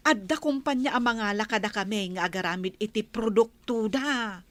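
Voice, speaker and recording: A woman acting as a chatty, boastful, preachy village friend in an Ilocano comedy radio drama, animated and teasing, with an Ilocano accent. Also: medium pitch, moderate pace, dry recording, lightly acted. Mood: teasing, pleased.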